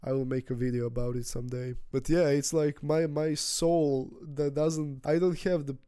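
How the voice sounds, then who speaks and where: A man in his twenties, not a native English speaker, talking through his feelings in a rambling, halting personal vlog with frequent restarts. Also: fairly high pitch, steady tone, dark tone, smooth, no echo, clean studio sound. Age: 20s. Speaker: vlogger. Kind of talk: casual personal vlog monologue.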